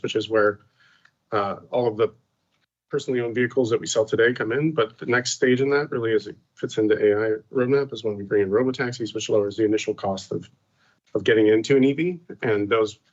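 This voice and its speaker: A man working as a company executive, giving an unscripted answer to a question on an earnings call. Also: on the low side. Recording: dull band-limited conference call audio.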